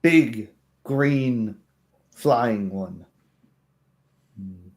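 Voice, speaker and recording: A man voicing a wary, weary female kobold in a tabletop roleplay, speaking haltingly with pondering hums. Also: medium pitch.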